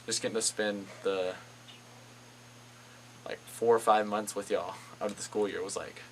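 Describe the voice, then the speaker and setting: A teenage boy, a high school student actor, reflecting casually in an interview with lots of "like". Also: fairly even intonation, moderate pace. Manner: informal, filler-heavy "like". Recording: clearly noisy room recording.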